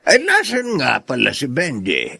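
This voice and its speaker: A man's voice: mid-range voice, huge pitch swings, a little slow, gravelly, sharp tone, husky, dry recording, full character voice. A man playing a friendly, curious older market vendor in a Filipino radio drama. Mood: curious, kindly.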